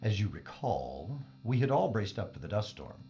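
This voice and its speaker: A man's voice: fairly low pitch, sing-song, normal speed, warm tone, slight background noise. A man playing a prickly, condescending scientist who speaks formally and precisely in a space audio drama. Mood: aloof. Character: haughty, exacting male scientist, prickly loner aboard spaceship. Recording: dull band-limited audio with faint music.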